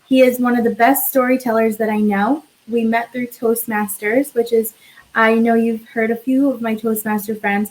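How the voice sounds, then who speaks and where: A woman's voice: on the high side, a little mellow, poor audio. A woman hosting an interview over a video call, enthusiastic and bubbly as she introduces and questions her guest. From Westchester, New York, USA. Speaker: host.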